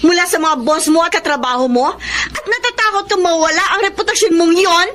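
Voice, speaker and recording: A woman's voice: very high voice, a little fast, a bit bright. An actress with a Filipino accent playing a devoted, easily hurt young girlfriend in an earnest, emotive radio drama. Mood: tearful reproach.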